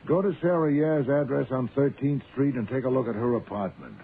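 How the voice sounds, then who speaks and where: A man's voice: mid-range voice, expressive, a little fast, lightly acted. Man acting imperious, pompous detective, tinny old radio sound. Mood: commanding. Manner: pompous, precise, formal diction. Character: imperious, proud, sedentary genius detective, large man. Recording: muffled, noisy phone-like old radio sound.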